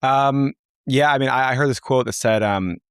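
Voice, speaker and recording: A man's voice: medium pitch, normal speed, fairly bright tone, clean tone, dry recording, studio quality. A young man, a company founder, talking casually as an interview guest, with many fillers and restarts. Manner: loose, filler-heavy, rambling.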